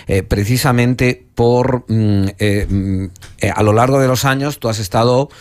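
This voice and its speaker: A middle-aged man hosting a radio interview, speaking in a halting way with many restarts. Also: mid-range voice. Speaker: radio host.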